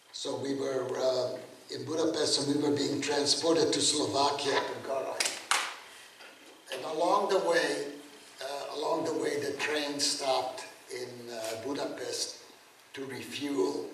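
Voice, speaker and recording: Slow halting old man in echoey room. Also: mid-range voice, a bit bright, slight background noise. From Czechoslovakia. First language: German. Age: 80s. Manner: halting, many fillers and restarts. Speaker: guest speaker, Holocaust survivor. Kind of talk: spoken testimony lecture. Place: lecture room. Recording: very echoey room, mic far off.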